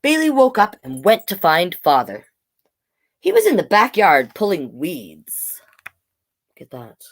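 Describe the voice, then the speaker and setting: A woman reads a children's storybook at an unhurried pace, with a widely swinging melody. Her voice sits a little low, and she is expressive and coaxing as she hosts storytime. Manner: expressive, coaxing, talking to young listeners. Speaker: storytime host, drag king performer. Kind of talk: children's storybook read-aloud with asides.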